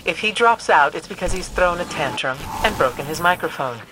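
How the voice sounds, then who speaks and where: A woman's voice: fairly low pitch, expressive, a little fast, bright, forceful tone, a little hiss or hum, rough recording. An actress playing a composed, dryly witty female scholar who guides players through a video game mission. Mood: wry. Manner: dry, composed, wry.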